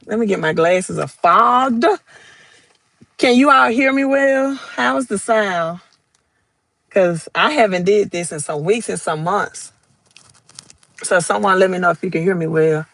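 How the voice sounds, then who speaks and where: A woman's voice: mid-range voice, unhurried, creaky, long pauses, a bit bright, dry recording. A woman with a Southern accent hosting a casual crypto livestream from her phone, chatting, greeting viewers by name and reading their comments aloud. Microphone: phone held vertically.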